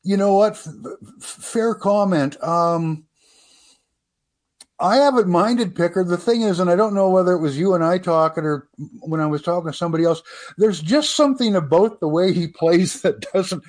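A man's voice: high voice, darkish tone. A man giving his opinions as a commentator in a casual, folksy sports radio discussion. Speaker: sports writer and commentator guest.

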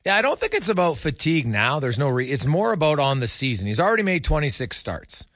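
Sports radio host guy, voice a bit high, super dry studio sound, breaking things down casually. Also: big pitch swings, fast talker, fairly bright tone, no echo. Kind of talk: casual sports talk, analytical commentary.

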